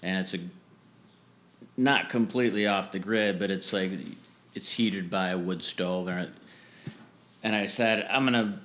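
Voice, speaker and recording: A man with a lowish voice chats in a relaxed, meandering way, often restarting his sentences. He laughs easily as he talks, recorded at home. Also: measured pace, long pauses. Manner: rambling, self-correcting, laughs easily. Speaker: musician guest. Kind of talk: casual interview chat. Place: family home.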